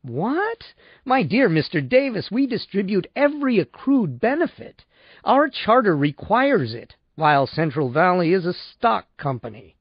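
A man's voice: a little high, sing-song, a little slow, no room sound, lo-fi sound, heavily acted. A man voicing an unctuous, easily shocked male insurance salesman who is pompous, fussy and deferential, in an audiobook. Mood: indignant, pained.

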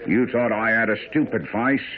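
An actor playing a shrewd, confident detective in a radio drama. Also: male voice, fairly low pitch, fairly even intonation, normal speed, crowd noise, natural voice. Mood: wary, gruff. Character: shrewd confident detective, at times posing as rough groom. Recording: noisy narrow-band old radio broadcast.

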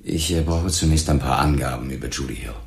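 An actor playing a calm, probing police lieutenant in a crime radio drama, questioning in a measured, matter-of-fact way. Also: male voice, rumbling low. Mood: businesslike.

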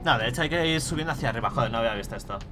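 A young Spanish man talks through his game on a headset mic, muttering to himself and swearing freely. His voice sits at a middling pitch, and clear game music plays underneath him. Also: expressive, rapid-fire. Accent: Spain Spanish. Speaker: streamer. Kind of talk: casual gameplay commentary.

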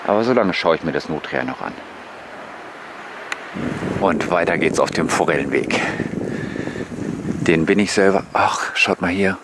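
A man giving relaxed, musing, casual commentary to viewers as a vlogger on a bike tour. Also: low-pitched, a little slow, long pauses. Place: outdoors on rural paths and waterside. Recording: noisy outdoor recording with passing vehicles.

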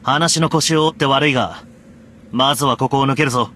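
An actor playing a stern, protective young knight in an anime game, earnest and commanding. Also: male voice, medium pitch, fairly quick, a little hiss or hum, natural voice. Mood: composed. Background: loud background music.

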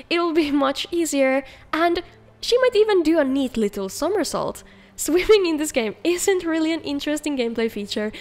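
A woman hosting a video, reading scripted narration in an upbeat, enthusiastic presenting manner. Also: sits high. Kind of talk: scripted narration of game mod showcase.

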